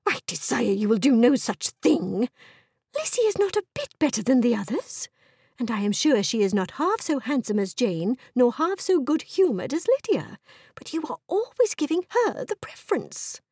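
A woman voicing a fussy, excitable, scheming middle-aged mother in a period novel audiobook, with a fluttery, gushing, exclaiming manner. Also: a little high, normal speed, slightly rough, no echo, clean studio sound, voice pushed up, full character voice. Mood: indignant.

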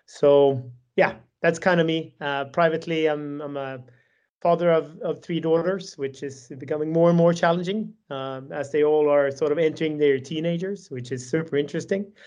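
A man's voice: on the high side, steady tone, normal speed, darkish tone, highs cut off. A man in his forties with a Swedish accent, a podcast guest speaking thoughtfully with many restarts over a call. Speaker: podcast guest, tech executive. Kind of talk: casual remote conversation. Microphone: video call.

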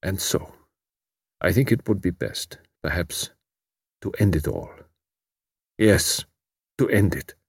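A man's voice: low-pitched, expressive, no echo, clean studio sound, heavily acted. Man voicing an anguished young German refugee, intense and strained. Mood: quiet despair. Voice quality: hoarse, strained. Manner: formal, halting foreign phrasing.

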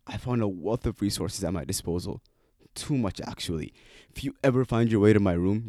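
Very deep-voiced man musing aloud. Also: a little mellow, no room sound, clean studio sound. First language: Somali. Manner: casual, playful, full of rhetorical questions. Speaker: podcast host. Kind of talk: solo reflective podcast monologue.

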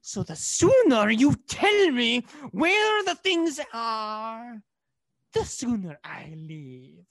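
An actor playing a cruel, menacing soldier in a radio play, sneering, taunting and commanding. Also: male voice, very high voice, sing-song, very slow, a bit bright, no echo, full character voice. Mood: coldly demanding.

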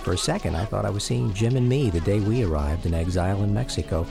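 A man narrating a personal radio drama in a reflective, measured storytelling style. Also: lowish voice, creaky. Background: music.

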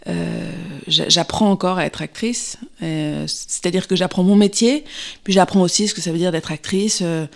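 A woman's voice: fairly low pitch, moderate pace, creaky. A woman in her thirties, an actress answering interview questions, pregnant, speaking hesitantly with many fillers and restarts.

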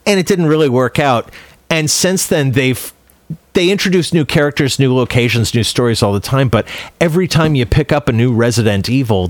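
Male co-host, average-pitched voice, dry sound, informal explaining, casual movie talk. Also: dry recording. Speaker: podcast co-host. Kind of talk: casual chat about movies and games.

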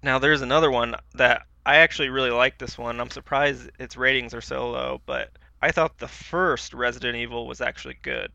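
A man's voice: medium pitch, steady tone, brisk, gravelly, few pauses, sharp tone. A man co-hosting a podcast in casual, slangy, informal chat, on a slightly dull recording. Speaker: podcast co-host. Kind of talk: casual chat about pop culture.

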